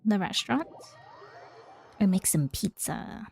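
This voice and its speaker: A young woman streams a game in a mellow voice with noticeable fry. She chats casually and playfully, her pitch moving freely. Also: animated, a little slow. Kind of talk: casual gameplay commentary. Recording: faint game music behind. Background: video game music.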